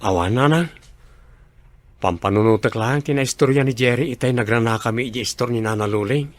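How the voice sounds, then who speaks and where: A man's voice: medium pitch, fairly quick, dry recording, close to an everyday voice. A man playing a troubled middle-aged man. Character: middle-aged man Octavio, troubled, torn over old love. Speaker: radio drama actor. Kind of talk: radio drama acting.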